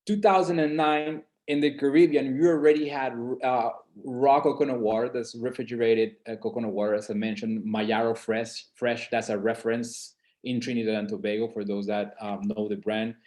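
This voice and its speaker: Middle-aged man, from San Andres in Colombia, webinar presenter, halting delivery, frequent restarts. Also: medium pitch, level tone, a little mellow. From San Andres and Providence, Colombia. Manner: hesitant, many fillers and restarts.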